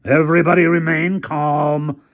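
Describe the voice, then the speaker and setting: A man voicing a nervous male school official calling for calm over a megaphone. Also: fairly high pitch, a little slow, dull audio, voice pushed up, lightly acted. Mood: anxious.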